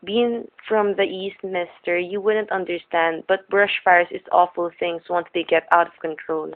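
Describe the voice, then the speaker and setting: A woman's voice: on the low side, steady tone, normal speed, lightly acted. An actress playing a chatty, folksy old woman who runs a roadside diner in a radio drama, with homespun, rustic speech. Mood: gossipy, concerned. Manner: gabby, homespun, rustic grammar. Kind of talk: old-time radio character acting. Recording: narrow, tinny vintage radio recording.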